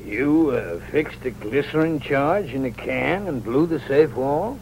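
An actor playing a folksy older sheriff who needles wryly, in an old-time radio crime drama. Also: male voice, mid-range voice, lively intonation, unhurried, darkish tone, a little hiss or hum. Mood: grudgingly impressed. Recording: dull vintage radio recording.

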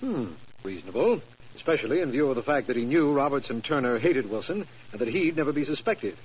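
A man's voice: medium pitch, sing-song, fairly quick, breathy edge. An American actor playing a dry, skeptical official who questions his detective friend in a 1940s radio drama. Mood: curious, impressed. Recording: noisy 1940s radio recording.

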